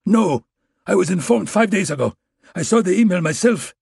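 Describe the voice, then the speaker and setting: A man's voice: medium pitch, moderate pace, gravelly, darkish tone, slightly rough, dry recording, clean studio sound, voice pushed up. A man voicing a frightened male Russian agent with a thick Russian accent, pleading. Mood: panicked pleading.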